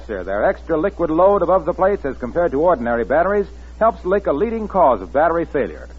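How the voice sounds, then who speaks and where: Emphatic American radio pitchman, thin vintage sound. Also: breathy edge. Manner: polished, emphatic salesman delivery. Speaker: announcer and sponsor pitchman. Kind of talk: 1940s radio commercial announcing. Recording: tinny 1940s radio recording, faint music.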